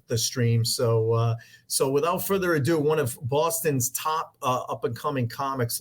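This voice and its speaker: Laid-back joking male podcast host. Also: medium pitch. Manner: laid-back, joking, drinking while talking. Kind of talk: casual podcast chat.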